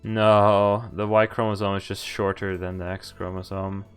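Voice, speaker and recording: A man's voice: low voice, level tone, normal speed, clean tone. A man streaming a game, reading its dialogue aloud and adding informal asides of his own. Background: game music.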